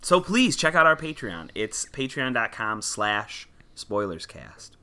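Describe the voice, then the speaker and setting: A man's voice: medium pitch, very animated, measured pace, bright, forceful tone. A man co-hosting a film podcast, chatting casually and playfully. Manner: playful, breaks into goofy old-timey voices. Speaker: podcast co-host.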